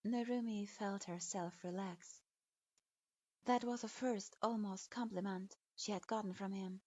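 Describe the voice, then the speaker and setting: A woman reading a fan fiction story aloud in an even storytelling manner. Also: medium pitch, measured pace. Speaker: story reader. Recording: dull lo-fi.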